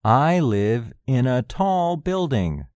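A man repeats each word and sentence at a very slow, deliberate pace, his pitch rising and falling widely. The sound is dry and close, straight into a studio mic. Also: very animated, a little mellow, no echo. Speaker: recorded lesson reader. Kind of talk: scripted listening exercise.

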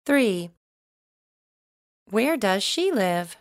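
A woman reading numbered items for a recorded English listening exercise, slowly and carefully enunciated. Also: mid-range voice, sing-song, no room sound, studio quality. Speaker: recorded listening test reader.

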